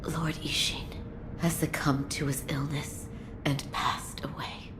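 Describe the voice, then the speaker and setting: A woman playing a solemn, dutiful attendant in a video game cutscene, speaking formally and in hushed, measured phrases. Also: deep voice, level tone, whispered. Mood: grave, hushed.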